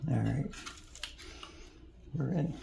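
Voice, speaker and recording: A man's voice: medium pitch, very slow, creaky. A man repairing electronics at a home workbench, thinking aloud and mumbling as he works. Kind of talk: casual workbench narration. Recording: echoey room, loud background noise.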